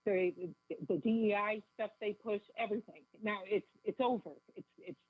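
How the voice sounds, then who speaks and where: Raspy woman with a cold on phone. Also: mid-range voice, fairly even intonation, normal speed, dry recording. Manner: emphatic, indignant, urgent. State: has a bad cold. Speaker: phone-in guest. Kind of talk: live radio call-in advocacy. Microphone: telephone. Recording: tinny, narrow phone line.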